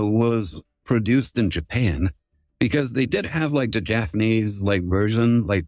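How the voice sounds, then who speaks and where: A man's voice: lowish voice, darkish tone, no echo, clean studio sound. A man hosting a casual livestream, chatting informally with some swearing and often repeating his phrases. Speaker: livestream host.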